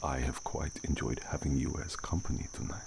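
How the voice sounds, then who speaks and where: A man playing a courteous, brooding vampire count in an ASMR audio drama, speaking formally in a gravelly near-whisper. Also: extremely low pitch, fairly even intonation. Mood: gentle, courteous. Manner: formal, slow, measured speech. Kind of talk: ASMR roleplay acting. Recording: noisy, night crickets and outdoor ambience.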